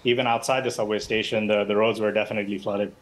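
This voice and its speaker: A man's voice: fairly low pitch, flat delivery, fast. Man, from Bangladesh, interview guest, conversational, fillers, remote link. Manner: casual, conversational, frequent fillers and restarts. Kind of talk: live news interview.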